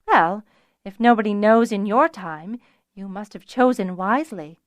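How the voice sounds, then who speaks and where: A woman's voice: medium pitch, unhurried, dry recording, clean studio sound, lightly acted. A woman voicing an intense, grieving young woman with a demanding, emotional manner, in an audiobook story. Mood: probing.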